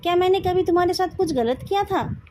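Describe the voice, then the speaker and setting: An Indian-accented woman voicing a pampered young rich man in a novel, sharp, accusing and bitter at betrayal. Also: extremely high pitch, brisk, slight background noise, voice pushed up. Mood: hurt, angry.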